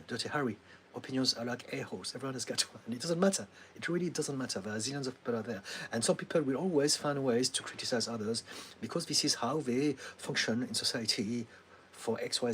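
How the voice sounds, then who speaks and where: A middle-aged man from France with a French accent, a therapist calmly answering viewers' questions on a livestream, laughing now and then and filling pauses with ums. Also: medium pitch, slight background noise. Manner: calm, advising, laughs often, many ums.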